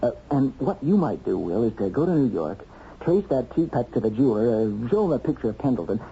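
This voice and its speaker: An American actor playing a dogged, wry investigator in a radio mystery drama, probing and emphatic. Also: male voice, mid-range voice, big pitch swings, a little fast, mellow. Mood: confident, wry. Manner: probing, hesitant lead-ins, emphatic deductions. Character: dogged, wry male investigator cracking a murder case. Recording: dull, noisy old radio recording.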